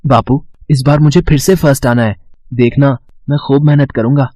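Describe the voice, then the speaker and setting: A man's voice: medium pitch, fairly even intonation, normal speed, warm tone, close to an everyday voice. A man voicing an earnest, hardworking schoolboy in a cartoon moral story. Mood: eager. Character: earnest, hardworking poor schoolboy, a servant's son.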